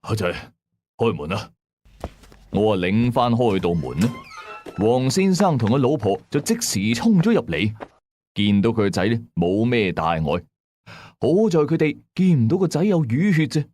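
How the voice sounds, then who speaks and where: 一個香港粵語口音嘅男人，用第一身、口語化又戲劇性嘅方式講廣播鬼古。. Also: male voice, mid-range voice, big pitch swings, normal speed, a little mellow, no room sound. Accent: Hong Kong Cantonese. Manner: dramatic, colloquial. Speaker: radio drama narrator. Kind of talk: first-person ghost story narration.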